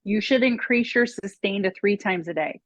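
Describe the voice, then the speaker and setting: A woman's voice: medium pitch, moderate pace, no echo, muffled recording. A woman speaking as a guest expert in a casual podcast chat, giving emphatic, matter-of-fact advice. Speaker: guest expert on mold and parasites.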